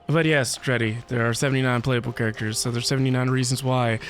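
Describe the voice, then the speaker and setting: A man's voice: mid-range voice, fairly even intonation, quick pace, soft background music. A man chatting casually as a live game streamer, with a playful, theatrical and mock-dramatic manner.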